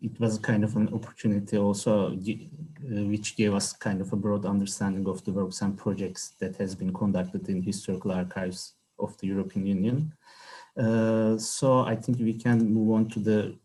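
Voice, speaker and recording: A man moderating an academic question-and-answer session, speaking unscripted in halting, hesitant phrases. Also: lowish voice, mellow, slightly rough, low-quality recording.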